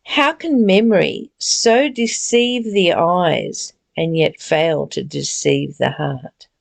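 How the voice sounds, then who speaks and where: A woman giving a reflective spiritual reading and teaching in an earnest, deliberate, gently exhorting way. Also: fairly low pitch, vocal fry. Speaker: reader and spiritual study teacher. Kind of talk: read-aloud spiritual text with reflective, informal commentary.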